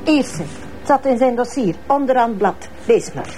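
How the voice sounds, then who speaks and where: A woman's voice: fairly high pitch, animated, moderate pace, over music, lightly acted. An actress playing a spirited, outspoken policewoman with a Flemish accent, talking colloquially in a naturalistic radio drama. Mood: eager. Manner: colloquial, exclamatory.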